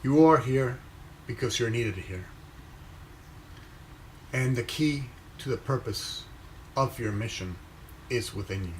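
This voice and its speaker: Measured, calm Venezuelan-raised man. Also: medium pitch, slight background noise, low-quality recording. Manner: slow, measured, with pauses between phrases. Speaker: inspirational speaker reading his own post. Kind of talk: reflective motivational reading and commentary.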